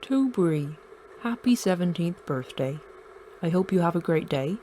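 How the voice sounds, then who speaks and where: Audio drama aunt: fond, proud, very animated, slight noise behind. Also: female voice, lowish voice, a little slow. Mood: affectionate, proud. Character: fond, proud aunt reading her birthday card.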